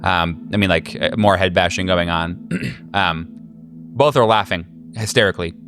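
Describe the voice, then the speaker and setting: A man running a tabletop horror game as game master, narrating casually in a halting, slangy, jokey way. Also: sits low, a bit bright, faint music behind.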